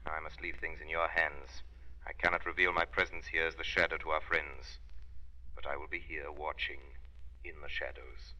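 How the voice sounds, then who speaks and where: An actor playing a grave, commanding crime-fighting avenger in an old-time radio drama, speaking solemnly and deliberately. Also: male voice, a bass voice, flat delivery, sharp tone, full character voice. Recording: muffled, noisy vintage radio recording.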